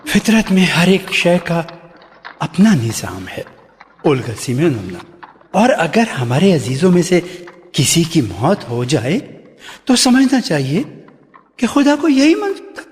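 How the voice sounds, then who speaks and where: A pious, measured neighbour in an old radio drama, offering solemn comfort. His voice is fairly high for a man and swings expressively. It rings in a long reverb with faint music behind it. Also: very animated. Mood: solemn consoling. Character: solemn, pious timber-yard manager consoling a widowed neighbour.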